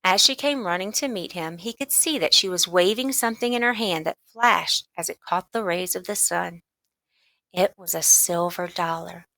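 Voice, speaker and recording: A woman's voice: lowish voice, moderate pace, gravelly, bright, forceful tone, dry recording. A woman narrating a children's storybook as a read-along audiobook.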